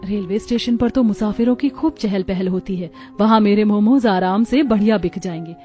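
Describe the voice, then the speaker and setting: Cartoon casting: female narrator as a hard-up, caring young housewife, determined and plaintive, mellow tone, music underneath. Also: medium pitch, normal speed, close to an everyday voice. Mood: worried, resolved. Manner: earnest, plaintive. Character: poor, determined, caring young daughter-in-law. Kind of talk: cartoon story acting.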